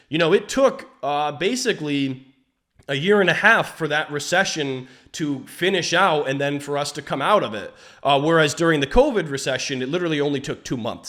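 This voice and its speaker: A man's voice: a little high, a bit bright. A man in his twenties casually explaining investing to his viewers, upbeat and chatty with frequent fillers and asides. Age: 20s. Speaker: finance YouTuber. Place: his home property. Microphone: camera setup, framed from a distance.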